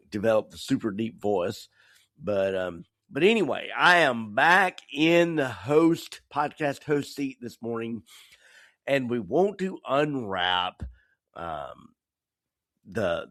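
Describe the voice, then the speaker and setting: A middle-aged American man talking casually as a podcast host, halting and meandering, a bit croupy from a cold. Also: fairly low pitch, fairly bright tone, no room sound, studio quality. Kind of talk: casual personal podcast monologue.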